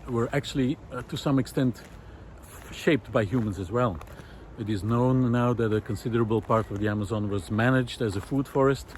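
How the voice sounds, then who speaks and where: A man speaking a reflective, unscripted monologue, thoughtful and halting as he searches for words. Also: on the low side, darkish tone. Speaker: host of a nature project podcast. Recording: outdoor city noise, wind, distant traffic. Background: faint city noise, wind, vehicles.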